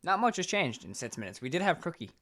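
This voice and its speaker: A man's voice: on the high side, big pitch swings, fast talker, a bit bright. A man co-hosting a podcast in casual banter, with a crude, joking, sweary manner. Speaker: podcast co-host. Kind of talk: casual banter between friends.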